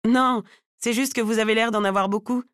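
A woman narrator plays a female salon customer from South Africa in a novel. She is frank and casual, matter-of-fact with her opinions, slightly raised in pitch in a dry recording. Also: fairly even intonation, a little fast, smooth, dry recording, natural voice.

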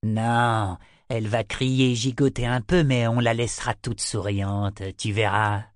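A man's voice: mid-range voice, flat delivery, measured pace, dry recording, studio quality, natural voice. A man voicing a sly, leering, lazy sailor in an audiobook, condescending and colloquial in manner. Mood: leering, menacing. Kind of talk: audiobook character voice.

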